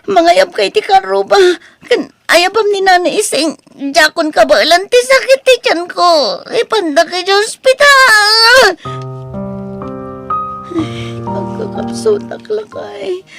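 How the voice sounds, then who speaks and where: A woman playing a plaintive married village wife in an Ilocano audio drama, with a husky, creaky voice. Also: extremely high pitch, slow, pushed and bright, faint music behind, exaggerated voice. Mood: pained, groaning. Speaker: drama voice actress.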